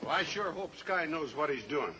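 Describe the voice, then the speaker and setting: An actor playing a flustered, blustering small-town sheriff in a 1950s radio drama. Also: male voice, fairly high pitch, animated, moderate pace, natural voice. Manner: blustering, exasperated. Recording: old radio recording with music.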